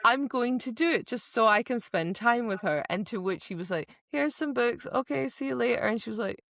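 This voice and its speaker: A woman's voice: fairly high pitch, animated, brisk, vocal fry, fairly bright tone, dry recording, clean studio sound. A woman podcast co-host casually retelling book scenes, slipping into quoted dialogue and repeating words for emphasis. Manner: chatty, acts out quoted dialogue.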